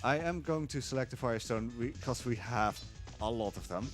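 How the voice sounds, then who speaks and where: A man's voice: mid-range voice, animated, normal speed, soft background music. A man with a Dutch accent giving casual live commentary on his speedrun, explaining as he plays.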